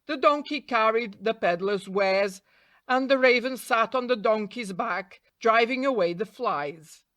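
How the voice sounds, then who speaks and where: A woman narrating a children's fairytale as a storyteller, reading in a deliberate, expressive storybook manner. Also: on the high side, no echo. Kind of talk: scripted fairytale narration for English learners.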